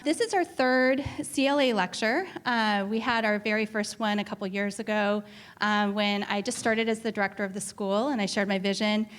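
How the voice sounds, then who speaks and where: Brief: female school director introducing a lecturer, formal but halting, lots of ums, mid pitch. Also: steady tone, fairly bright tone. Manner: hesitant, many filler sounds.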